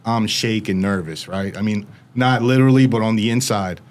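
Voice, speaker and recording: A younger man from the United States, telling his story as an interview guest in fast, animated casual talk, slipping in quoted voices. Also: fairly low pitch.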